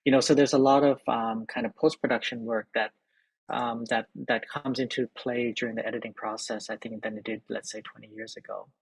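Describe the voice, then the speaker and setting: Man from Thailand, middle-aged, interview guest, halting speech, dry close recording. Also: medium pitch, little pitch movement, husky, no room sound, low-quality recording. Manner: halting, many repeated words and fillers. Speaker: film editor and director, interview guest. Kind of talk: thoughtful interview answers.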